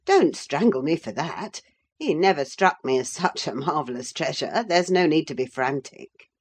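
A woman reading a story in the part of a peevish, disdainful gentleman husband, clipped and scornful. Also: deep voice, very animated, normal speed. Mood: cross. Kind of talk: dramatised audiobook reading.

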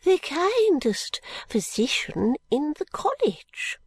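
A woman doing a nervous, genteel elderly lady whose voice rises high and stretches each word slowly and stately. She confides in hushed, half-whispered tones, close and dry. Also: animated, dry recording, full character voice. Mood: hushed confiding.

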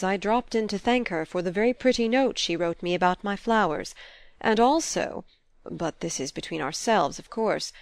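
A woman reading a storybook as a shy, complacent elderly gentleman, formal, mild and hesitant. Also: medium pitch, no room sound, close to an everyday voice. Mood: confiding.

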